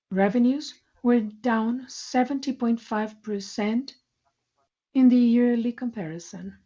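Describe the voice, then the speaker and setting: Relaxed, mellow woman presenting on lo-fi audio. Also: fairly high pitch. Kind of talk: scripted corporate financial presentation. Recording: muffled, lo-fi conference call audio.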